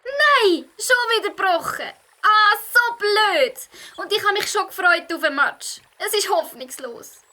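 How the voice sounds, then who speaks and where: Woman playing a very high-pitched excitable young fox. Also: measured pace, heavily acted. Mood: dejected. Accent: Swiss German. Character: young fox, keen hockey player, excitable and easily discouraged. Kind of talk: children's audio play acting.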